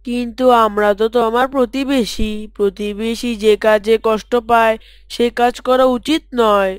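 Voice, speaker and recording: An earnest, well-mannered young boy, voiced by a woman in a cartoon. His voice sits fairly high and sounds smooth and clean. The recording is dry and close. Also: steady tone, moderate pace, no echo, lightly acted. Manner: earnest, gently preaching. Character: polite, dutiful young boy. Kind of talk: cartoon voice acting.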